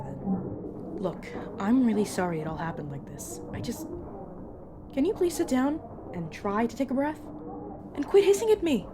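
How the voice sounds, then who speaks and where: A woman playing a weary, apologetic supernatural agent in an audio roleplay, explaining things patiently with hesitant, casual asides. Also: fairly high pitch. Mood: apologetic, guarded, then exasperated, pleading. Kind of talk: narrative audio roleplay acting, addressed to listener. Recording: clearly noisy, background ambience effects.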